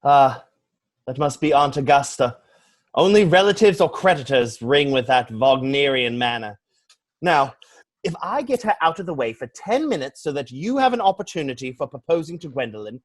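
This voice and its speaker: A man playing a witty, flippant young English gentleman in a stage comedy reading, declaiming with pompous mock seriousness. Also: mid-range voice, lively intonation, normal speed, natural voice. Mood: breezy, arch.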